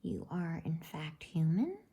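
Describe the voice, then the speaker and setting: A woman voicing a polite, flustered, inquisitive male faun in a storybook, courteous and hesitant, in a breathy whisper. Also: low voice, very animated, slow, mellow, exaggerated voice. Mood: curious, hesitant. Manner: courteous, hesitant, repeats himself. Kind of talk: whispered bedtime storybook reading.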